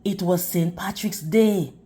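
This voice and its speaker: A woman's voice: fairly low pitch, a little slow, dark tone. A woman reading a storybook aloud to young children in a teacherly manner, prompting her listeners with questions.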